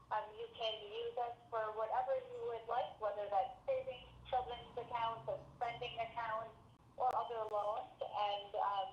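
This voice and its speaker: A woman working as a credit union representative, answering member questions on a conference call. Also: on the high side. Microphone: conference call connection. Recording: echoey, muffled webinar call with background noise.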